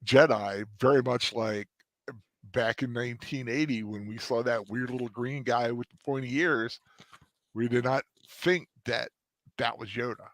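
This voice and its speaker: A man co-hosting a casual review discussion, talking in a hesitant, halting way with many restarts. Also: medium pitch, fairly even intonation, fairly bright tone, no room sound. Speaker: co-host.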